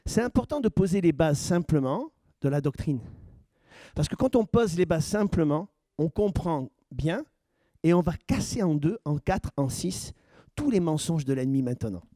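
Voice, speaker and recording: A man's voice: fairly high pitch, lively intonation, normal speed, mellow, no room sound. A man preaching as pastor, questioning his congregation and building to emphatic points. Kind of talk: sermon teaching.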